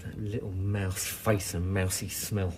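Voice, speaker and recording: An actor playing a cocky, jittery criminal informant with a husky voice, in radio drama style. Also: male voice, low-pitched, little pitch movement, measured pace, a little hiss or hum. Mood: scornful, defiant. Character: cocky, jittery male police informant and small-time criminal. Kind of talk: naturalistic radio drama acting.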